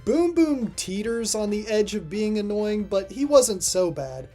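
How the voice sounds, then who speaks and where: Music plays behind a mid-pitched host recording a scripted review voice-over. The delivery is casual and dry, with offhand asides, like someone working through a ranked list of cartoon episodes. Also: big pitch swings, measured pace, dark tone.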